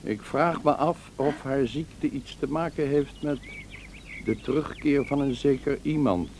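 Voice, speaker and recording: Actor as a mellow, grave country doctor. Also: male voice, mid-range voice, a little slow, slight background noise, close to an everyday voice. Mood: suspicious. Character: concerned, suspicious country doctor. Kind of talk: radio drama acting.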